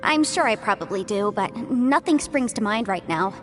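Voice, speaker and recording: A woman voicing an earnest, thoughtful young female legal advisor who speaks in a measured, articulate way. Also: mid-range voice, expressive, lightly acted. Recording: game audio with music. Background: game music.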